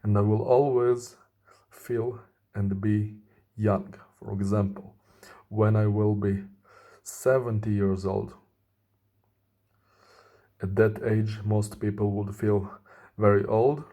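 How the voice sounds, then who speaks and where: A man in his thirties speaking earnestly in a slow, deliberate personal monologue to camera. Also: fairly low pitch, level tone, dark tone. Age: 30s. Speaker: vlogger.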